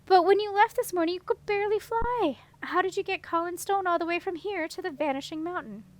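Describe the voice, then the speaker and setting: A woman voicing an eager, outspoken young princess in an excitable, earnest manner. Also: very high voice, a little fast, pitched above the performer's own voice. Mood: excited concern.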